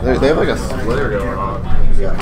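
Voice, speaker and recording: A man presenting an informal convention panel in a playful, exclamatory way with a loud voice. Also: lowish voice, brisk. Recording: live room with crowd noise. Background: audience murmur and room noise.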